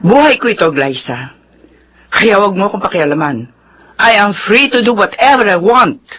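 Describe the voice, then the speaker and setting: A woman's voice: mid-range voice, sing-song, moderate pace, fairly bright tone. A woman with a Filipino accent playing a stubborn, widowed middle-aged mother in a radio drama, haughty and exasperated. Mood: haughty irritation.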